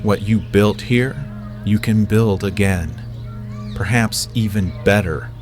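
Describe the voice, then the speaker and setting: A man plays a calm wandering philosopher in an audio story. He talks slowly and gently in a lowish voice, with music clearly underneath. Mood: reassuring. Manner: calm, measured.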